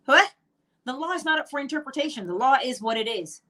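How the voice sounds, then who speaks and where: A woman's voice: high-pitched, animated, fast talker, pushed and bright. A middle-aged woman from Barbados with a Barbadian accent, teaching about spiritual law in an emphatic monologue full of rhetorical questions. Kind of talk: monologue on manifestation.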